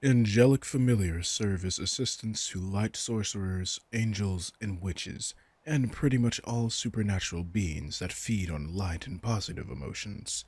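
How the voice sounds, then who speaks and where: A man's voice: lowish voice, normal speed, hardly any pauses, darkish tone, husky, no room sound. A man playing a commanding, teasing vampire king in a roleplay audio drama, smug and casually menacing as he speaks straight to the listener. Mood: smug, explaining.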